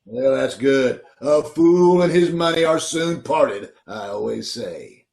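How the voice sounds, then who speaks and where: A pompous boss forever quoting proverbs, acted by a man in old-time radio comedy. His voice is gravelly and the room around him rings with echo on a video call. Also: medium pitch, lively intonation, slow, a little mellow. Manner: pompous, preachy, fond of sayings. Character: pompous middle-aged male boss, speaks in proverbs. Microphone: home mic on a video call. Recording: echoey home room over a video call.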